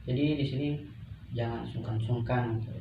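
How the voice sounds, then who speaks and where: A young man acting as a counsellor in conversation, asking and encouraging in a halting way, searching for words. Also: medium pitch, steady tone. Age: young adult. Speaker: counsellor in a practice session. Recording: echoey room, distant mic, muffled, noisy.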